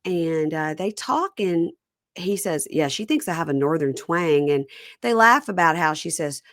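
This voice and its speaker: A woman with a mild Southern accent from Mississippi talks in a lower-pitched, chatty way, drifting from one aside to the next. Her voice is recorded close and dry, with no room around it. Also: unhurried, no room sound. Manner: chatty and animated, jumping between tangents. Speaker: podcast host. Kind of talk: casual reality TV recap chat.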